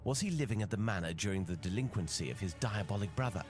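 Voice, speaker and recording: A low male voice with lively swings of pitch, a man acting a commanding gentleman detective in a video game. He speaks formally and with assurance, with a wry, teasing edge. Music plays clearly behind him. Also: fast, close to an everyday voice. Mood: assured.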